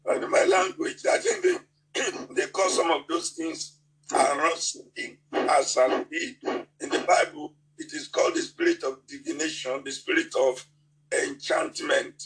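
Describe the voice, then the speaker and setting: A man with a Nigerian accent preaching a sermon, halting and often repeating words as he restarts his sentences. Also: fairly high pitch, lively intonation, fairly bright tone, rough recording.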